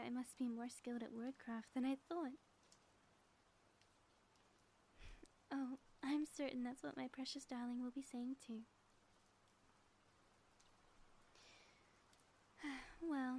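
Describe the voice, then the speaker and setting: Woman playing a high-voiced theatrical ex-supervillainess. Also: warm tone, slight background noise. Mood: anxious, hopeful. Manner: theatrical, formal grandiose diction. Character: theatrical ex-supervillain woman, grandiose schemer, lovestruck devoted spouse. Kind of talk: ASMR audio roleplay.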